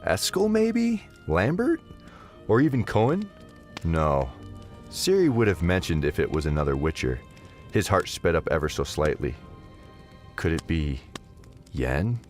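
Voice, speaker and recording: A man's voice: low-pitched, very animated, a little slow, pauses often, soft background music. A man narrating a scripted fantasy audio drama in a dramatic storytelling style.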